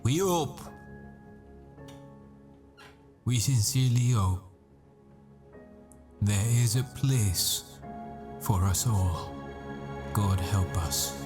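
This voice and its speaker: Casting: male actor as troubled professor-assassin boyfriend, slow and stammering, dramatic audio roleplay, faint music underneath. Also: fairly low pitch, expressive. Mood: solemn, wistful. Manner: halting, stammering, repeats himself. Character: desperate boyfriend, dazed math professor and assassin.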